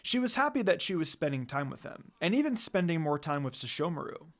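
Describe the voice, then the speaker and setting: Woman narrating a story with lively pitch. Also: brisk. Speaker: fan fiction reader. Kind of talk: dramatic amateur story narration.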